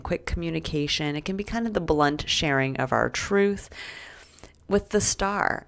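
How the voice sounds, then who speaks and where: A woman reading tarot cards for the week ahead, talking gently and reflectively with soft asides; she is sick and losing her voice. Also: low-pitched, creaky. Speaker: tarot reader. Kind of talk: weekly tarot reading to camera.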